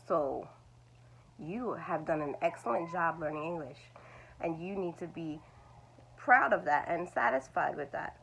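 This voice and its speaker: A woman's voice: on the low side, lively intonation, measured pace, creaky, fairly bright tone, slight background noise, poor audio. A woman, teaching language learners in a reassuring, repetitive way. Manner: reassuring, repeats "it's okay". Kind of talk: talking-head video lesson.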